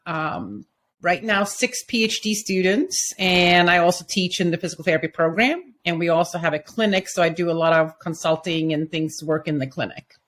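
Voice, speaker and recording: A middle-aged woman from Sweden, a physical therapy professor, answering interview questions in a measured, explanatory way. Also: fairly low pitch, a bit bright, slight background noise.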